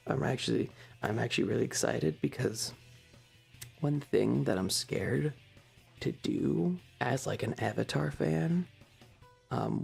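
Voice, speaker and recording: An ASMR stream host chatting softly and haltingly to viewers, sometimes in a whisper, close to the microphone. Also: medium pitch, gravelly, breathy edge. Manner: soft-spoken, halting, talking to chat. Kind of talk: casual ASMR stream chat, partly whispered.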